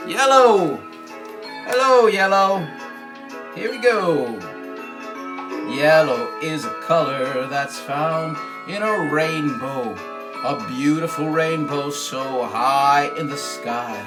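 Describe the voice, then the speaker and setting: A man's voice: a little high, lively intonation, slow pace, poor audio. A man hosting a children's puppet show and sing-along, exclamatory and encouraging, repeating his phrases. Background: music.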